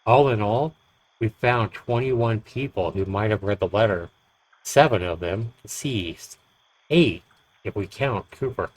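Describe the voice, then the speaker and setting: Male narrator for horror visual novel: slightly deep, deliberate, expressive dramatic delivery. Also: no echo, low-quality recording. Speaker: streamer reading a visual novel aloud.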